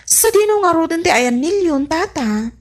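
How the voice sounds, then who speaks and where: A woman acts a disappointed young villager in love, in a radio comedy drama. Her high voice is plaintive and carries a Filipino accent. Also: expressive, moderate pace.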